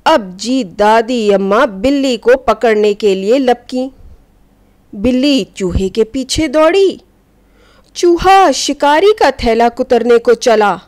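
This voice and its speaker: Female storyteller for children's folk tales: high voice, very lively intonation, gently instructive. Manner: expressive, didactic, addressing children. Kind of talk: scripted narration of children's folk tale.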